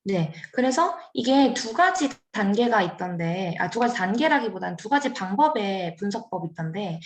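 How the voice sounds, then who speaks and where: Young woman, mid-pitched, giving an academic talk, hesitant and self-correcting, echoey room. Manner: careful, hesitant explaining with fillers and self-corrections. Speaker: graduate student presenter. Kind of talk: academic seminar presentation.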